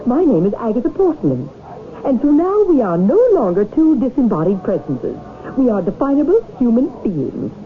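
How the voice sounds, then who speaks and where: Insistent, high-voiced anxious wife. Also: female voice, very animated, moderate pace. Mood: pleading, insistent. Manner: pleading, articulate, dramatic. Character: earnest, insistent, anxious wife of trapped man. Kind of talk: 1970s radio drama acting. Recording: tinny 1970s radio broadcast.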